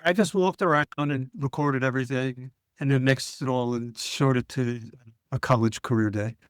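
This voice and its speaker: An older man with a mid-pitched voice, recorded dry and close. He tells stories casually as an interview guest, wryly pausing before each punchline. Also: steady tone, smooth, dry recording. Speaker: guest, former broadcast news engineer. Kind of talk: casual anecdotal storytelling.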